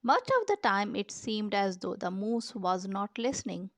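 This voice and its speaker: A woman reading a children's picture book aloud with measured, expressive storytelling delivery. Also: medium pitch, dry recording, studio quality. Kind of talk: storybook read-aloud narration.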